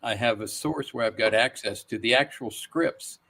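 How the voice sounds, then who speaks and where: A man's voice: medium pitch, moderate pace, a bit bright. A man over sixty chatting casually as an interview guest, reminiscing as he talks. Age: 60s or older. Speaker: guest voice actor.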